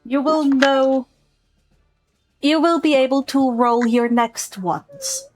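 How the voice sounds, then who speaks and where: High-voiced, unhurried woman game master. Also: animated, faint music behind. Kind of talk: improvised tabletop game narration.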